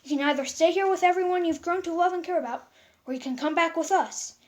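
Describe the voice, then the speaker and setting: Woman as a motherly gem alien, caring, advising, high voice, storybook read-aloud. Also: fast talker.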